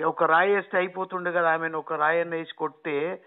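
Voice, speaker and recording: A man with a Telangana Telugu accent questioning on a phone call in a halting, repetitive, insistent way. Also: fairly high pitch, little pitch movement, clear, resonant tone. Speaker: phone caller. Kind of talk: questioning in casual phone conversation. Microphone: phone line.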